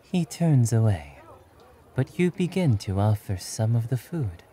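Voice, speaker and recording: A man narrating a scripted second-person ASMR story in a soft, hushed, intimate manner. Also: mid-range voice, big pitch swings, a little slow.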